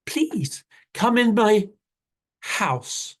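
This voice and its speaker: A storyteller voicing a small, tricky rabbit in a bilingual children's story, in a squeaky, rapid, pleading voice. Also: sing-song, full character voice. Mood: wheedling.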